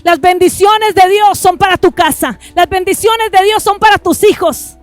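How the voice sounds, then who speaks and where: High-voiced woman preaching fervently. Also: no echo, faint music behind. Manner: impassioned, repeats "listen to me", swings between rushed and drawn-out phrases. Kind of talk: fervent televised sermon and offering appeal.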